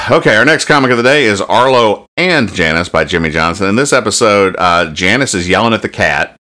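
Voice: low voice, animated, normal speed, bright, forceful tone. A podcast host chatting casually and jokingly about comic strips, often restarting as thoughts form.

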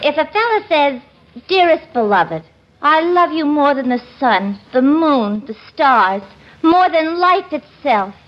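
A woman's voice: high-pitched, animated, slow pace. An actress playing a vain, touchy, man-hungry single woman in a 1950s radio comedy. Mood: gushing. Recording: noisy muffled 1950s radio broadcast.

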